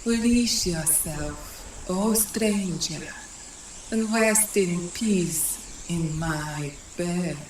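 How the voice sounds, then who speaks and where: An eerie, seductive siren , voiced by a woman, beckoning with calm, hypnotic lines. She speaks extremely slowly in an echoey space, in the manner of a gothic audio drama. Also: fairly low pitch. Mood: hypnotic luring. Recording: echoey, faint background noise.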